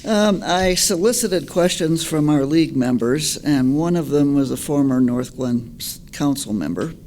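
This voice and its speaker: A man's voice: a little high, lively intonation, measured pace, a little mellow, slight background noise. A man moderating a public forum, putting questions to the panel. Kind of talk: reading out audience questions.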